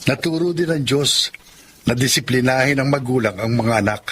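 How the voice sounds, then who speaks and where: A man playing a firm but kind, God-fearing father with an earnest, measured manner in a Tagalog radio drama. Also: mid-range voice, fairly bright tone, slight background noise, natural voice.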